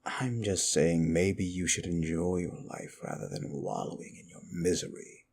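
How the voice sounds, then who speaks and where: A man's voice: low-pitched, sing-song, a little slow, gravelly, darkish tone, breathy edge, heavily acted. A man playing a sly, evil demon who taunts and manipulates in an intimate ASMR roleplay, teasing and condescending. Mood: sly, brooding.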